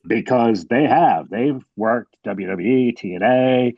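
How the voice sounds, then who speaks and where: A man's voice: mid-range voice, takes it slowly, vocal fry, dry recording. Guy on a podcast call, muffled dry audio, talks straight and candid, repeats himself a bit. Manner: candid, repeats words when starting a thought. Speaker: guest, wrestling producer and manager. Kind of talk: casual podcast chat. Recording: dull, dry sound.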